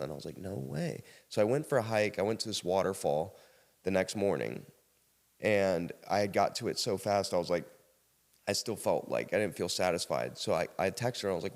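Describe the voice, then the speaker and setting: An American man from South Carolina telling casual personal stories as a podcast guest, acting out bits of conversation as he goes. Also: on the low side. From South Carolina, United States. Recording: reverberant hall-like room sound.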